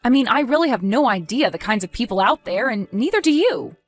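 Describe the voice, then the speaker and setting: A woman's voice: fairly high pitch, lively intonation, fairly quick, soft background music, close to an everyday voice. A woman playing a caring, cautious and practical wife, fussing conversationally in an audio drama. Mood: worried, insistent.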